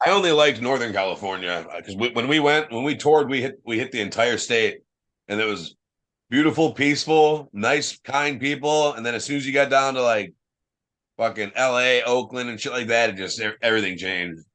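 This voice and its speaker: Loud, profane young man from Ohio. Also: mid-range voice, brisk. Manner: loose, profane storytelling, lots of fillers; says he has a loud voice. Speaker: guest, professional wrestler. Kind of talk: casual podcast chat.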